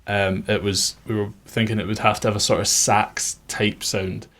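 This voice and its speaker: A man with a fairly low voice talks through a music production tutorial. He explains things informally and conversationally, like a producer showing how the work was done. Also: flat delivery, normal speed, a bit bright.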